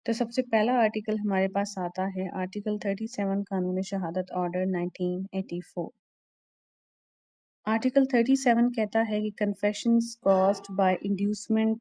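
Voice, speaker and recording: A woman lecturer with a Pakistani accent and a soft, mellow tone at a medium pitch. She explains methodically, restating each point in other words. The recording is dry and close, with very little room sound. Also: dry recording. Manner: methodical, repeats and rephrases points. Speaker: law lecturer. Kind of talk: explanatory lecture, switching between Urdu and English.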